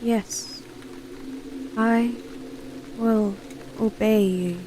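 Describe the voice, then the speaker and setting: A woman's voice: a little high, steady tone, drawn-out words, mellow, a little hiss or hum. A young woman playing a wary, dryly sarcastic college student in an audio drama. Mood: entranced. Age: young adult. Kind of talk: audio drama acting with self-talk.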